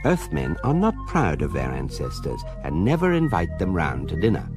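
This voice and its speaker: Over prominent music, a wry British man narrating a galactic guidebook in a radio comedy. His delivery is measured and deadpan, yet swoops widely in pitch for each precise phrase. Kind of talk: scripted comic radio drama narration. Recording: radio production with music behind.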